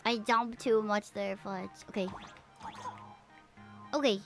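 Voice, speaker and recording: A young woman livestreams casual game commentary in a bubbly, expressive, playful way, chatting with viewers as she plays. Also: medium pitch, slow pace, soft background music. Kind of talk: casual gameplay commentary with chat replies.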